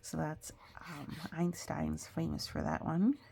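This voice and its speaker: A woman's voice: sits low, steady tone, slow pace, gravelly, heavy background noise. A woman reading a picture book aloud as a storyteller, expressively, with chatty, explanatory asides for young listeners.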